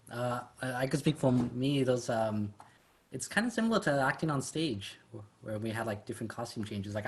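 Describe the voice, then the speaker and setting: A man, an actor, giving a casual, conversational answer on an online panel from home. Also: mid-range voice. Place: remote video call at home.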